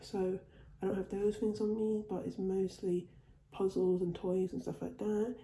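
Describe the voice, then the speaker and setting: Woman, home vlogger, very dark tone, recovering from a cold, roomy echo. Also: mid-range voice, flat delivery, a little slow. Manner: chatty, repeats and restarts phrases. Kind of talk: casual haul video. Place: at home, indoors.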